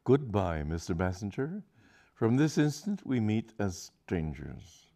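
A man's voice: on the low side, sing-song, measured pace, mellow. A man voicing a cold, scornful young lady. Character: cold, scornful young woman rejecting a suitor.

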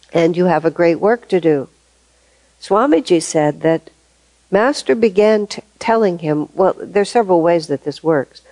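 An older woman giving a thoughtful, measured spiritual talk, often restarting her sentences. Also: on the low side, dark tone, dry recording, low-quality recording. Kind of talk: reflective spoken talk.

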